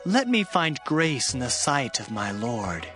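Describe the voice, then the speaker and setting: A humble, deferential herdsman, lifting and dropping his voice in lively arcs as he pleads. Soft music runs beneath. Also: medium pitch, a little slow. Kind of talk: dramatized scripture reading.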